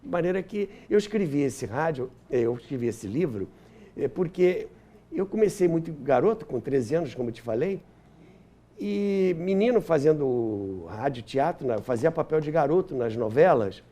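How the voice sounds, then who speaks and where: Older Brazilian man, animated high-pitched voice. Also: normal speed. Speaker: interview guest, historian and author. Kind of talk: interview, reminiscing.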